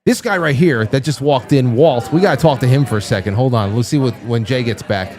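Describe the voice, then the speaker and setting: A man's voice: medium pitch, brisk, darkish tone. A man hosting a casual live stream from a busy studio, rambling and restarting his sentences as guests walk in and out. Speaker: show host.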